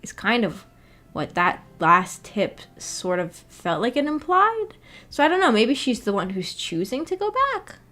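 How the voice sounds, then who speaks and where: A woman's voice: on the high side, sing-song, a little slow, a bit bright. A woman hosting a livestream in a casual, rambling way, hesitant as she thinks aloud. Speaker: livestream host.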